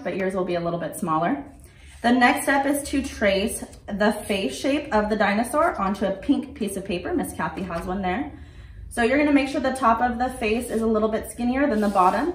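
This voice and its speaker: A young woman giving clear, step-by-step craft instructions for children, standing at a table in an indoor room. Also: a little high, a little hiss or hum. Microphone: camera at a distance. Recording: roomy, from a distance.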